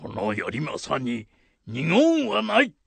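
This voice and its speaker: Radio drama samurai lord: imperious, archaic, slow and creaky. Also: male voice, fairly high pitch, wildly swooping pitch, dry recording, heavily acted. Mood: satisfied, magnanimous. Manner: archaic lordly speech, emphatic. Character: commanding, proud old samurai lord slaying a monster.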